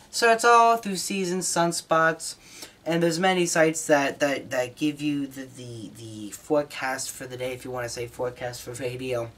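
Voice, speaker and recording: An American man giving an informal, explanatory hobbyist talk, somewhat halting, with repeated words as he explains. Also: medium pitch, a bit bright. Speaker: hobbyist presenter.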